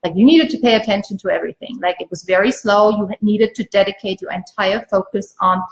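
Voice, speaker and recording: A woman from Austria, a native German speaker, speaks as a business coach giving an emphatic, repetitive motivational pitch on a livestream. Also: a little fast. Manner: emphatic, repeats phrases for stress. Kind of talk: motivational live sales pitch to viewers. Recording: dull lo-fi livestream audio.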